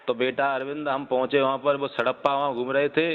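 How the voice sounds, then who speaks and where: A man speaks at a middling pitch in a phone dispute. He is persistent and reproachful, though his wording stays deferential. The recording is muffled and has a long, hollow reverb. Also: flat delivery, fairly quick, clean tone. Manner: persistent, reproachful yet respectfully addressed. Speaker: caller. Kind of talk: phone argument.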